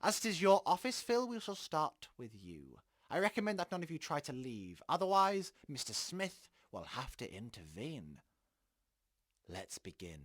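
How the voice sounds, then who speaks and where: An actor playing a menacing, smugly polite government enforcer in an audio drama, speaking formally and condescendingly. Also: male voice, on the high side, wildly swooping pitch, normal speed, fairly bright tone, exaggerated voice. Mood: commanding. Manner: formal, condescending, veiled threats.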